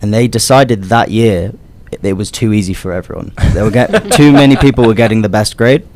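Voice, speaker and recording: A young man from the United Kingdom, a radio guest telling a story in an animated way and explaining patiently. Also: lowish voice, fairly quick, slight background noise. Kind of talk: casual storytelling.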